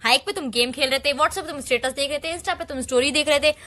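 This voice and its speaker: A woman's voice: high-pitched, fast talker, bright, forceful tone. A young woman playing a suspicious, nagging girlfriend, insistently interrogating her boyfriend in a staged phone argument. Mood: exasperated, accusing. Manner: insistent, interrogating, repeating questions and demands.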